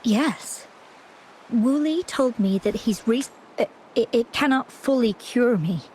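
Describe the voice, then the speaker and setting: A gentle, sickly young woman from a dramatic video game. Her voice sits a little high and moves slowly, soft and hesitant. There is some background noise and ambience behind her. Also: animated, rough recording. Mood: earnest, grateful. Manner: hesitant, halting, soft-spoken. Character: frail, gentle ill young woman, former landscape painter.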